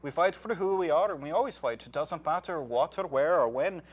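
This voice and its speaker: A man's voice: fairly high pitch, animated, brisk, slightly rough. A man playing a boastful, hard-drinking Irishman, rambling and joking. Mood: rousing. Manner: blustering, jokey, rambling with fillers. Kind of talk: comic banter.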